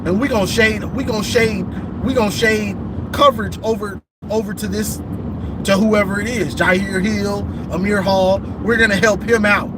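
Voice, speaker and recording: A man hosting casual football talk, rambling and repeating himself, a bit hoarse from losing his voice, calling in from a vehicle. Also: high-pitched, fairly even intonation, normal speed, noisy background. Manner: rambling, repeats himself, says "you know what I'm saying". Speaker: football show host. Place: in a vehicle on a bridge.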